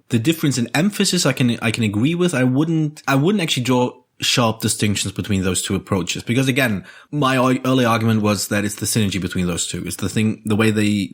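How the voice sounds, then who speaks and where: A man co-hosting a casual podcast about board games, explaining ideas in a measured, analytical way with dry wit. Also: lowish voice. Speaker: podcast co-host.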